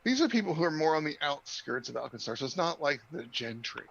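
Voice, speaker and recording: Creaky, bright-voiced male game master. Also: on the high side, big pitch swings, fast talker, muffled recording, a little hiss or hum. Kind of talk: improvised tabletop game narration and chat.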